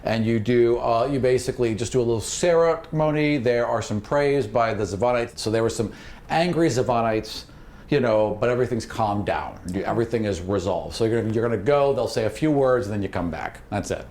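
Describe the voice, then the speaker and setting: A man's voice: medium pitch, a little fast, a little mellow. A man narrating a tabletop fantasy game as its game master.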